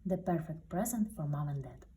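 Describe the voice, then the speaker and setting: A woman's voice: sits low, expressive, moderate pace, dark tone, recorded from a distance. A woman who is not a native English speaker reads a picture book aloud expressively, with a non-native accent. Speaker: parent reading aloud to a child.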